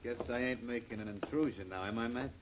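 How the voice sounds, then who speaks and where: An American actor playing an apologetic gangster gunman in a 1940s radio crime drama, with pompous, flowery words in rough street grammar. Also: medium pitch, fast talker, close to an everyday voice. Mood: apologetic, menacing. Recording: muffled, noisy 1940s radio recording.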